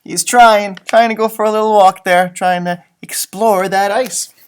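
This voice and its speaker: A man reading a children's picture book aloud in an expressive, teacherly way, with casual chatty asides. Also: high-pitched, a little slow, clean tone. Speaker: storybook reader, elementary school teacher.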